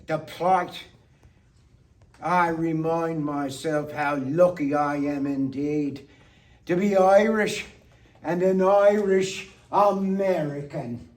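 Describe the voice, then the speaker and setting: Slow, high-pitched man giving theatrical toasts from afar. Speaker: monologue performer. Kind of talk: theatrical toast-making monologue. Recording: distant microphone.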